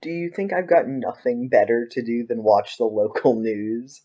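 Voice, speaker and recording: A man's voice: mid-range voice, moderate pace, breathy edge, lo-fi sound, natural voice. A man playing a sarcastic, teasing schoolboy in an audio play, with a dry manner. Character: sarcastic, teasing schoolboy mocking his friend.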